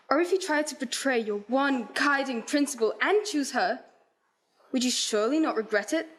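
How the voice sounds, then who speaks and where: A woman's voice: high voice, lightly acted. A woman playing a heartbroken but resolute young fiancée in a radio play, speaking earnest, formal period lines. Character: Belle, heartbroken, resolute young fiancée releasing her betrothed.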